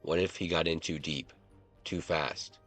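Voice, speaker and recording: A man's voice: extremely low pitch, fairly even intonation, measured pace, a bit bright, no echo, faint music behind, heavily acted. A man voicing a serious, cool-headed male investigator who speaks pointedly in a story. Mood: probing. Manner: cold, pointed. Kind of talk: story character dialogue.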